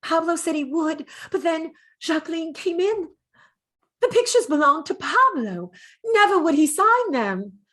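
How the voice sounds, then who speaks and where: Casting: actress as gentle dead mistress, high-pitched, wistful, halting, intimate radio-play monologue of remembered love. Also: female voice, sing-song, heavily acted. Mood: bitter, resolute. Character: dead gentle lovelorn woman, artist's former lover, remembering. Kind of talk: dramatic monologue in an audio play reading.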